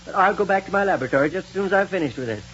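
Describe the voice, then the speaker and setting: A resolute scientist in a vintage radio space serial. The delivery is quick and authoritative, set fairly high. The sound is muffled with faint noise. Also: male voice, animated, fairly bright tone. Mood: brisk, resolute. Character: determined, authoritative male scientist and inventor. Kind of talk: 1930s radio serial acting. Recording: muffled, noisy 1930s radio recording.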